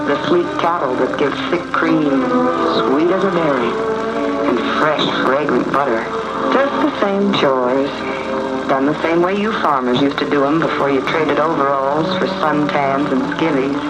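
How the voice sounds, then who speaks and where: Man narrating a vintage wartime film. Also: on the high side. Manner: folksy, addresses the audience directly. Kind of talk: 1940s wartime propaganda film narration, scripted. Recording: dull vintage film soundtrack with loud music. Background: loud music.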